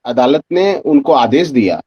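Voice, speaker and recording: A man's voice: mid-range voice, expressive, measured pace, dark tone, husky, dry recording. A man giving live political analysis as a guest journalist, heard over dull, band-limited audio.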